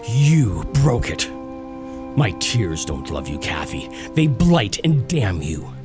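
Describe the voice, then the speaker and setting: A man's voice: fairly low pitch, sing-song, slow. Man acting an anguished lover, wildly swooping voice. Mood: bitter reproach. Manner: tormented, intense, brooding. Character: anguished, bitter, passionate grieving male lover. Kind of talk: melodramatic literary audio drama acting. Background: dramatic music.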